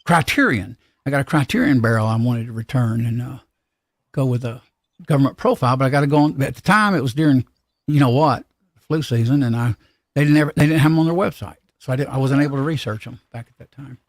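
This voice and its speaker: A man hosting a casual livestream chat, talking in a rambling, halting, folksy way. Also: medium pitch, no room sound, clean studio sound. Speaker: livestream host.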